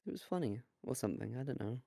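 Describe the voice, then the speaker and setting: A man's voice: medium pitch, expressive, fast, vocal fry, dark tone, no echo, clean studio sound. A man co-hosting a casual podcast, chatting off the cuff with jokes and swearing. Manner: joking, sweary. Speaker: podcast co-host. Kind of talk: casual unscripted podcast banter.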